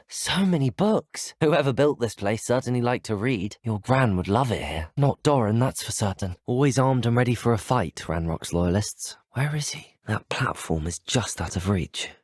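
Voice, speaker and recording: An actor playing an earnest, courteous young male wizard student in a video game, polite and well-spoken, with a British accent and a smooth voice. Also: lowish voice, no room sound, clean studio sound. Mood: curious. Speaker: player character in a video game. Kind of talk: scripted video game voice acting.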